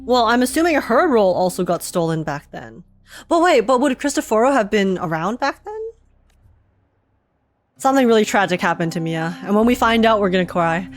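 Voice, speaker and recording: A woman giving excitable, slangy, casual commentary as she streams and reacts to a video game's story. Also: mid-range voice, brisk. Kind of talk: casual gaming livestream commentary.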